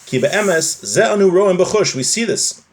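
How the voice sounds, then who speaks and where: A man's voice: high-pitched, animated, measured pace. A man teaching a live religious class, reading from a text, translating it and explaining it point by point, often rephrasing.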